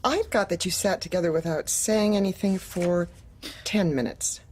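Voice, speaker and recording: Husky female voice, cold corporate manager, clipped, controlled, audio drama. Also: fairly low pitch, moderate pace, a little mellow. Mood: brisk, detached. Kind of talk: audio drama acting, dark comedy.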